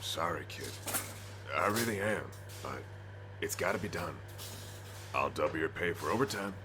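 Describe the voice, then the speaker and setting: A man's voice: low voice, animated, normal speed, over music. A man playing an apologetic but firm boss in an audio drama. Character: apologetic male boss asking for overtime.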